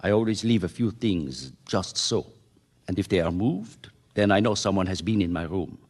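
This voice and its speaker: A man's voice: low voice, lively intonation, moderate pace. An actor playing a precise, earnest Frenchman in a radio mystery, with a French accent and careful, hesitant English. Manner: formal, careful wording, hesitant searching for English words. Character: tidy, precise Frenchman, former soldier, earnest and puzzled. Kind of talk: radio mystery drama acting.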